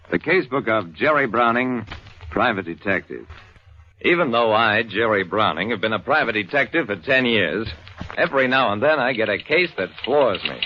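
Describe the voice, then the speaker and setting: A man's voice: medium pitch, close to an everyday voice. An actor playing a wry private detective who narrates his cases in a dryly exasperated way on radio. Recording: muffled, noisy old radio recording with clicks.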